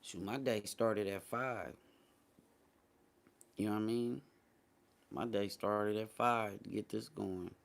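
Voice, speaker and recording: A man's voice: fairly low pitch, fairly even intonation, slow pace, creaky, pauses often. An American man hosting a casual livestream talk show, speaking in a blunt, slangy, dismissive way. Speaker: host of a morning talk show.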